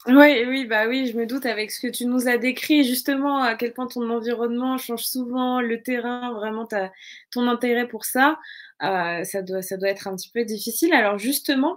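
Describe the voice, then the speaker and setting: A woman hosting a casual interview, with informal, hesitant, wordy phrasing. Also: a little high. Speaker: interview host.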